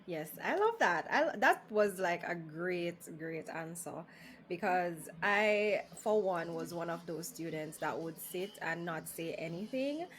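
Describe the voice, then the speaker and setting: A woman's voice: lowish voice, expressive, unhurried, noisy background. A Jamaican woman hosting a podcast, asking questions in a chatty way, laughing easily and mixing in Creole. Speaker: podcast host, nurse. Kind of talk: casual podcast interview.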